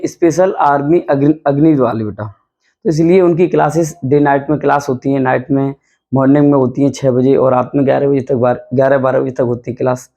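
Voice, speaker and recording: A man with a hoarse voice, a coaching teacher running a quick quiz-style revision lesson, calling his students "beta" and repeating each question and answer. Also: fairly high pitch, mellow. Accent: Hindi. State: hoarse from night classes.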